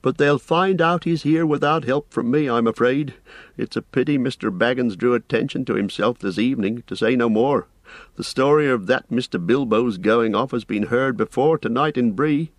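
A man's voice: mid-range voice, moderate pace, dry recording, voice pushed up, natural voice. A man voicing a fussy, kindly, rustic innkeeper who chatters and exclaims in an audiobook. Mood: anxious, earnest. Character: fussy, flustered, kindly fat male innkeeper. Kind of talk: audiobook character voice.

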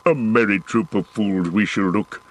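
A man reading an audiobook, voicing a proud and stubborn male dwarf warrior in a gruff, defiant manner. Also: on the low side, moderate pace, lightly acted. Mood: gruffly joking. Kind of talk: audiobook character voice.

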